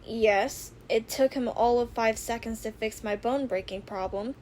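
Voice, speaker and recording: A woman's voice: a little high, moderate pace, a little mellow, natural voice. A woman voicing a blunt, level-headed teenage frog-like girl hero in a fan audio drama, matter-of-fact in manner, with background noise on the recording.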